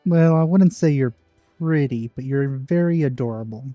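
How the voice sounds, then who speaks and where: A man's voice: mid-range voice, normal speed, dry recording, dull audio, soft background music. A man giving casual let's play commentary as a gaming channel host.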